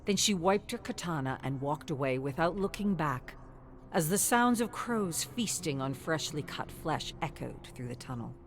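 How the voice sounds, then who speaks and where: Female narrator, notably deep register, dramatic scripted storytelling at a measured delivery, faint music bed.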